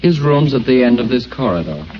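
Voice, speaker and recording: A man's voice: medium pitch, big pitch swings, a little fast, music in the background, lightly acted. An actor playing a weary, sober man of the household who speaks in a subdued, grave way in a 1940s radio play. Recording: muffled old radio broadcast.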